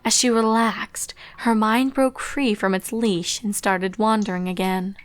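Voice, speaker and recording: A woman's voice: mid-range voice, fairly even intonation, unhurried, slight background noise. A woman narrator reading a scripted story aloud. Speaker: fan fiction narrator.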